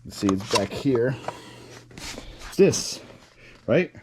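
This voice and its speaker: A man's voice: medium pitch, big pitch swings, takes it slowly, background noise. Young man with a Ukrainian accent. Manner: loose and rambling, many ums and restarts. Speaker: reseller vlogger. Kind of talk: casual vlog talk. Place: warehouse.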